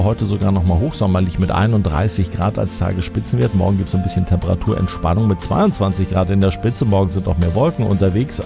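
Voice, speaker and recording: A male morning radio host with a low, gravelly voice, chatting breezily about the weather and the next songs. Music plays clearly under his talk. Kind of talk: upbeat radio presenting.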